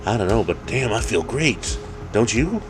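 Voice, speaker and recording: A man's voice: on the low side, very animated, normal speed, over music, pitched above the performer's own voice. A man playing a cheerful, easygoing fellow in a story, chatting casually and rambling without a care. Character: cheerful, unflappable easygoing man, demon's one-night date.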